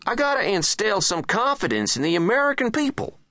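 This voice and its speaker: A man's voice: high-pitched, sing-song, brisk, sharp tone, no room sound, clean studio sound, pitched above the performer's own voice, heavily acted. A man voicing a petulant, exasperated American president in a satirical audiobook, sarcastic and whiny, with emphatic swearing. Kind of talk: satirical audiobook character acting.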